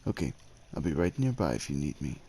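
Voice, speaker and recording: A man playing a devoted, gentle werewolf suitor in an ASMR roleplay, speaking with hesitant tenderness and courtly phrasing in a gravelly, husky voice. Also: very deep voice, very animated, fast, a little hiss or hum, full character voice. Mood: gentle, reassuring. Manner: hesitant, tender, old-fashioned courtly phrasing with tag questions. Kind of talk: ASMR roleplay, acted monologue addressed to the listener.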